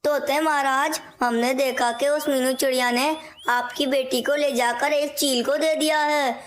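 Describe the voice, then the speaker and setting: A woman's voice: high-pitched, fairly even intonation, unhurried. A woman voicing a scheming, deceitful male crow in a cartoon moral story. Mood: feigned reluctance.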